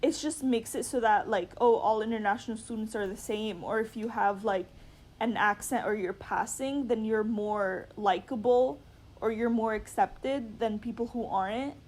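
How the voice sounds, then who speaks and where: A woman's voice: a little high, normal speed, a little mellow. Young woman student, casual and talky. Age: young adult. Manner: casual, frequent "like", opinionated. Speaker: interviewee, international student.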